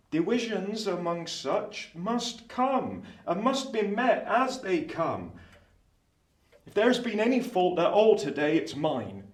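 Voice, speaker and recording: A man's voice: high-pitched, full character voice. A man acting a humble, kind-hearted country blacksmith in a radio play, earnest and homely in his turns of phrase. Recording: roomy echo, distant from mic.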